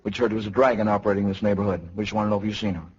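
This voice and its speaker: An actor playing a deadpan knight who talks like a clipped, polite police detective in a 1950s radio parody. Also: male voice, lowish voice, rapid-fire, natural voice. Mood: deadpan, businesslike. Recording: dull, very noisy 1950s radio recording.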